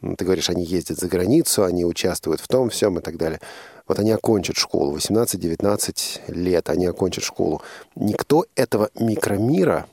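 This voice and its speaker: Male radio host, low and gravelly, earnest and emphatic, thinking aloud in a close, dry sound. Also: animated, darkish tone, no room sound. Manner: earnest, emphatic, halting with repetitions. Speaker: radio host interviewing a guest. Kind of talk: reflective radio interview.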